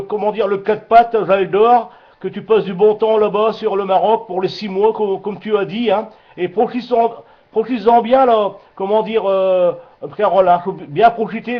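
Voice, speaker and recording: A man's voice: high-pitched, fairly even intonation, moderate pace. A man chatting casually over amateur radio, hesitant and repetitive, often searching for his words. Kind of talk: casual radio contact chat. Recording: muffled amateur radio transmission.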